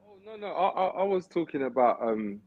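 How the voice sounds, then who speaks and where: A man's voice: on the high side, a little fast, narrow, tinny audio. A man co-hosting a casual football live stream, talking in bursts with repeated words and restarts as he defends his players. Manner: lively, repeats himself and restarts sentences. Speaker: co-host of football podcast.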